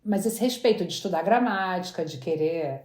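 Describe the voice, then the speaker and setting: A woman's voice: lowish voice, animated, moderate pace, darkish tone. A woman, chatting as an interview guest and often restarting her words. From Brazil. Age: 40s. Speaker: guest entrepreneur. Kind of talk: casual interview chat. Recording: roomy echo.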